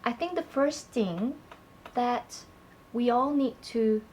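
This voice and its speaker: A woman co-hosting a talk segment, speaking clearly and prompting her partner with questions. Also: fairly high pitch, slow pace, darkish tone, a little hiss or hum. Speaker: co-host. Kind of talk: scripted conversational presenting.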